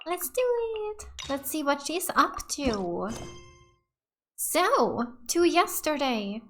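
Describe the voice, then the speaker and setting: A woman's voice: high voice, sing-song, very slow, a bit bright, slight background noise. A woman giving casual gameplay commentary as the host of a let's play. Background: faint game music.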